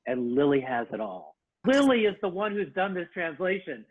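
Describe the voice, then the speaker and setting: A man's voice: fairly high pitch, very animated, normal speed, husky, dry recording. A man speaking earnestly in an online book club, heard over call audio. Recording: tinny dry call audio.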